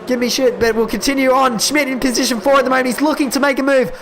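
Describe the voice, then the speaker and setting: A young man calling a sim racing event live, excitable and quick, his voice giving out and cracking. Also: very high voice. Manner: excitable, quick calls that rise at overtakes. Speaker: esports race commentator. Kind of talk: live play-by-play race commentary.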